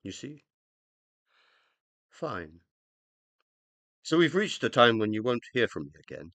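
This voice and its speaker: An actor in a radio play voicing the uploaded mind of a dead scientist, self-reproaching and loving, with an emotional, hesitant, confessional delivery. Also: male voice, mid-range voice, big pitch swings, takes it slowly, a bit bright, no room sound, heavily acted. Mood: quiet, reflective.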